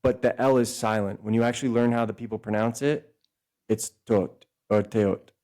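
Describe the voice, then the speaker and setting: Man explaining theories, mellow and low. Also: steady tone, normal speed. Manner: eager, explaining at length with many asides. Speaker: podcast host and author. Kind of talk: casual podcast conversation.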